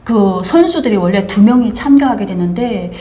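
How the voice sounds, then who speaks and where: A woman's voice: mid-range voice, expressive, normal speed, dark tone. Earnest woman from North Korea, chatting. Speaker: host. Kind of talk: casual chat.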